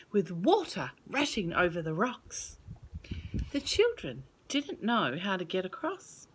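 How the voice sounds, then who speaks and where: A woman reading a children's storybook aloud as storyteller, with expressive, measured delivery. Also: mid-range voice, breathy edge, poor audio.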